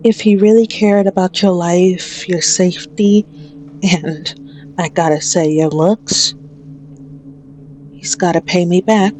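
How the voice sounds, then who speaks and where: A menacing female mafia boss, acted by a woman, speaks extremely slowly in a slightly low register. She is mocking, condescending and possessive, in the manner of an ASMR roleplay villain. There is noticeable noise and ambience behind her voice. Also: steady tone, darkish tone.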